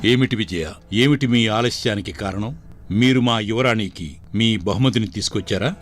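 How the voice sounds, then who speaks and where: A man's voice: lowish voice, normal speed, faint music behind, natural voice. Man playing a dignified, questioning king. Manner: formal, declamatory. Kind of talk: dramatised Telugu folk tale.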